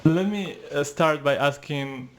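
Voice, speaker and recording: A man's voice: on the high side, measured pace. A man in his thirties hosting an interview over a video call, asking friendly, structured questions. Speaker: host. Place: indoor room.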